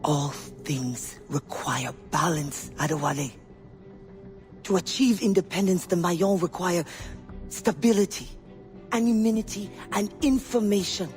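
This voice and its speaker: Proud spymaster lady actress acting it out, guarded, deliberate, game cutscene w soft music behind. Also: lowish voice, lightly acted. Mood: bitter, measured. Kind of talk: dramatic video game acting.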